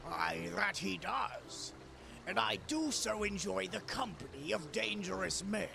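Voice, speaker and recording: A man voicing a grand, courtly wandering warrior in a video game, with a gravelly voice and formal, archaic speech. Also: high-pitched, a little slow, heavily acted. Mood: courtly, intrigued. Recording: clear music behind. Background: game music.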